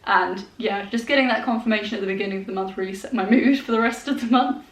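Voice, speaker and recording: A woman's voice: medium pitch, recorded from a distance. A woman in her twenties with a congested head cold, chatting casually to the camera in a sparsely furnished room at home. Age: 20s. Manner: chatty, rambling, with fillers and small laughs. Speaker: vlogger. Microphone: handheld compact camera. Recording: roomy echo, voice a bit off-mic.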